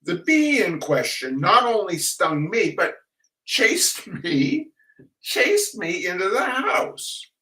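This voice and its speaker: A man's voice: high-pitched, huge pitch swings, bright, forceful tone. Older guy doing a humor reading, takes his time, voice swings up and down, mic is far off. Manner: theatrical, wry, halting with repeats. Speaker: host of a weekly humor reading. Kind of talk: casual live chat and reading humorous essays aloud. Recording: roomy echo, distant microphone.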